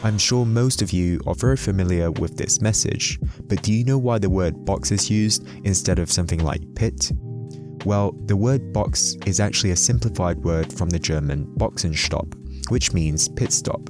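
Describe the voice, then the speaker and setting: A man's voice: sits low, normal speed, over music. A man giving a scripted explainer narration as the host of a video.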